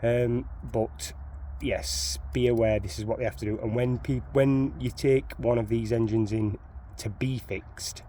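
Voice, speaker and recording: A man's voice: medium pitch, fairly even intonation, unhurried, hardly any pauses, dark tone, slight background noise. A man with a Sheffield accent, walking through a van repair in a chatty, rambling way, repeating his words as he goes, filmed on a handheld phone outdoors. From Sheffield, England. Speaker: DIY van owner. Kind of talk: casual how-to walkthrough.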